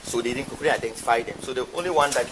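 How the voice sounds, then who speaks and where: A man with a medium-pitched voice gives an account as a hospital worker. He explains in a measured, halting manner. Very loud ambient noise surrounds his words. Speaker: hospital medical staff member. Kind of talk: spoken account in conversation.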